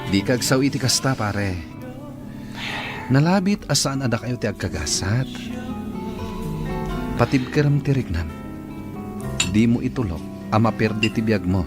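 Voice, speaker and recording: A man with an Ilocano accent playing a steady, reassuring friend who gives advice in a radio drama. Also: mid-range voice, unhurried, long pauses, lightly acted. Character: steady, advising male friend, a calm confidant. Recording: prominent music under the voice.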